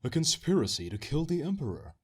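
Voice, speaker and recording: A man playing an imperial official in a husky fantasy game audition read, recorded in an echoey, tinny untreated room. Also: a little high, sing-song, a little fast. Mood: alarmed. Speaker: auditioning amateur voice actor.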